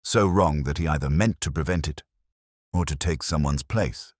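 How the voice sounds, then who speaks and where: A man voicing a thoughtful, soft-spoken police inspector with a precise, dryly philosophical turn in a mystery story. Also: extremely low pitch, moderate pace, no room sound, studio quality. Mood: quietly shrewd.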